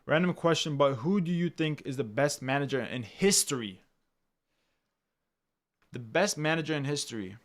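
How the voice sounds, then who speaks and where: A man's voice: expressive, normal speed, pauses often. A young Canadian man hosting a live match watchalong and reading chat, in loose slangy talk that often repeats himself and keeps saying "bro". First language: English. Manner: bursts loud and high when goals go in. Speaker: watchalong streamer.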